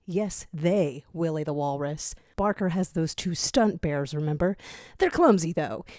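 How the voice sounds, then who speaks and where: A woman's voice: sits low, expressive, a little slow, mellow, no echo, clean studio sound. A woman voicing a smug, scheming male jackal commander with a self-assured, grandiose manner in an audio story. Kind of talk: fan fiction audio story reading.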